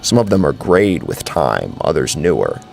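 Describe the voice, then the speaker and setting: A man's voice: very deep voice, big pitch swings, moderate pace, vocal fry, a little mellow. A man narrating a scripted horror story as a brooding, dramatic storyteller. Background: faint music.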